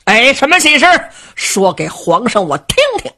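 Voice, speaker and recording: A woman's voice: on the high side, sing-song, normal speed, husky, exaggerated voice. A woman doing a brash, rowdy rebel king. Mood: boastful. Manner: loud, brash, laughing. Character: boisterous carefree middle-aged male rebel king.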